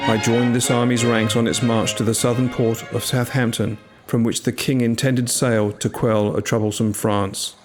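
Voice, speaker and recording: A man playing a humble English medieval longbowman, telling his story in a solemn, measured, scripted dramatic narration. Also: mid-range voice, little pitch movement, lightly acted. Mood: grave, resigned. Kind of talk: dramatic scripted first-person narration over music. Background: music.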